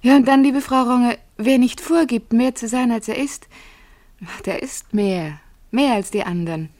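A woman's voice: a little high, a little slow, a little mellow. An actress playing an educated, reassuring lady in a German radio play, solicitous and emphatic with a refined manner. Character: educated doctor lady, reassuring, brisk, kindly superior.

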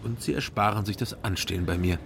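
A guarded young cloakroom attendant in a radio drama. His voice is fairly low, reserved and courteous, with clear noise around him. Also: fast talker. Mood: guarded, polite. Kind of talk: naturalistic radio drama dialogue.

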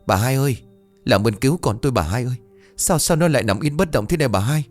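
A young mother begging a healer to save her child, her voice rising and breathless, as a male narrator puts her on. Faint music plays under the lively, pleading lines. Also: medium pitch, fast, pitched above the performer's own voice, lightly acted.

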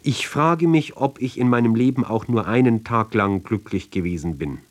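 A man giving a sober, measured literary reading for radio, phrase by phrase with deliberate pauses. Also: medium pitch. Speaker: reader of literary ballads.

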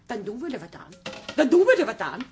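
High-voiced, stubborn working-class woman. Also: huge pitch swings, fairly quick, noisy background. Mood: bitter, defensive. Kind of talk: naturalistic radio drama acting.

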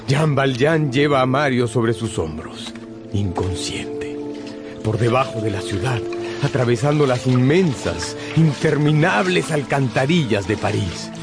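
A man's voice: medium pitch, expressive, a little slow. A man narrating a scripted radio drama as a dramatic storyteller. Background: prominent music.

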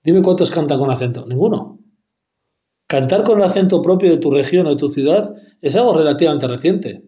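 Man, fairly high voice, slangy, argumentative casual talker, roomy echo. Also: animated, a little fast, dark tone. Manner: rambling, argumentative, full of slang fillers. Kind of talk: casual music chat.

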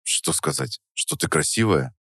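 A man's voice: very deep voice, lively intonation, measured pace, fairly bright tone, no echo, studio quality, voice pushed down, full character voice. A man voicing a grumpy, curt young man who mutters his lines in an audiobook. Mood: wry. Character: grumpy young man, self-deprecating cook and hero. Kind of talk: audiobook character voice.

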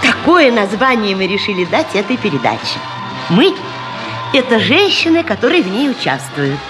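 A woman presenter with a husky voice, delivering a humorous, theatrical radio monologue with ironic, rhetorical emphasis. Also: medium pitch, very animated, normal speed. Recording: 1970s radio recording, faint music.

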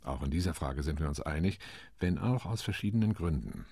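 Male actor as a middle-aged UN delegate for a radio drama: deep, raspy, steady reporting tone. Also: no room sound, clean studio sound, exaggerated voice. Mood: measured. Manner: measured, reporting. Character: sober male diplomat recounting committee talks. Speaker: UN commission delegate. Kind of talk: radio play monologue.

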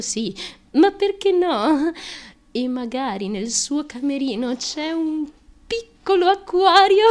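An actress playing a fame-hungry, fragile and determined young woman in an intense confessional stage monologue, with a deliberate, emphatic and halting delivery. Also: high voice, darkish tone. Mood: wistful, hopeful. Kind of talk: intense theatrical monologue, confessional stream of consciousness.

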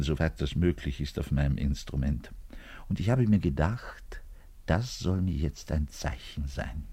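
Husky, dramatic, lovelorn orchestra musician. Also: male voice, low-pitched, full character voice. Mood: defensive confiding. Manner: dramatic, swinging from hushed confiding to loud declaiming. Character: lovelorn, self-important, bitter orchestral double bass player. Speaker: actor in a radio play monologue. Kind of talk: theatrical radio play monologue, confiding in the listener.

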